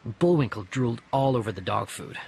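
A man's voice: mid-range voice, expressive, brisk, creaky, darkish tone, husky. A man reading a first-person audiobook story expressively, acting out the dialogue. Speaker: audiobook narrator. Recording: music behind the voice. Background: music.

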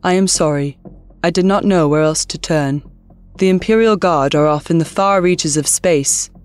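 A woman's voice: fairly low pitch, darkish tone, clean tone, no echo, lightly acted. A woman playing a scheming alien empress. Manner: formal, measured.

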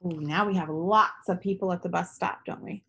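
A woman's voice: fairly low pitch, fairly even intonation, moderate pace, vocal fry, background noise. A woman in her thirties reading aloud for young children, teacherly and prompting with questions. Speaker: read-aloud storyteller. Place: small living room indoors.

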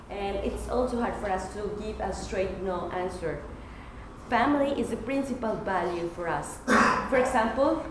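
Young woman from Mexico presenting in echoey room. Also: mid-range voice. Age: young adult. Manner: earnest, anecdotal, light humor. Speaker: student presenter. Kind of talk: class presentation. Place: classroom at a lectern. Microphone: far camera. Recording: echoey classroom with hiss.

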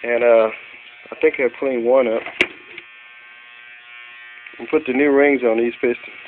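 A man's voice: mid-range voice, steady tone, a little slow. A man talking casually and plainly as he shows engine parts, like an amateur mechanic filming on a phone in his garage. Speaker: amateur car mechanic vlogger. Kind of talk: casual show-and-tell narration of engine parts. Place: cluttered garage workshop. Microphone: handheld phone behind the camera. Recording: tinny phone-like sound with electrical hum.

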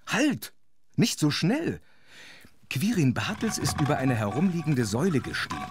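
An actor playing a wry, chatty storyteller who narrates a children's audio drama with playful asides. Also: male voice, a little high, wildly swooping pitch, normal speed, background noise. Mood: wry, animated.

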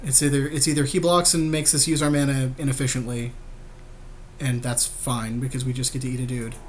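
A man's voice: mid-range voice, flat delivery, fairly quick, a little hiss or hum. A man giving casual game commentary as a podcast co-host, slangy, agreeable and joking. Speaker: podcast co-host playing an online card game.

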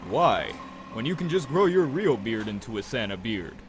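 Man acting a chatty, animated small-time crook. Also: medium pitch, moderate pace, slight background noise. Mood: teasing. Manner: teasing, folksy, talkative. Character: Clayton, chatty good-humored male drug dealer. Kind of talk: audio drama dialogue.

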